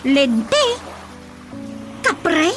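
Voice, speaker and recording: A woman's voice: high voice, huge pitch swings, extremely slow, heavily acted. A woman with a Filipino accent playing an inquisitive, puzzled daughter in a rural radio comedy drama. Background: music.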